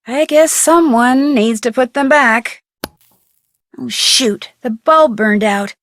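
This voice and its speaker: A young American woman playing a curious, chirpy amateur detective in an adventure game, thinking aloud with clear enunciation. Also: sits high, dry recording, studio quality. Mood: excited, startled. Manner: clearly enunciated, inquisitive musing to herself.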